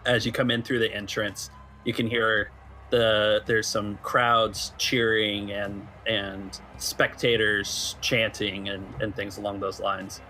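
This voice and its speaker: A man narrating a tabletop roleplaying game as game master, setting scenes in a halting, conversational way. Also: lowish voice, level tone, bright, forceful tone, a little hiss or hum, rough recording.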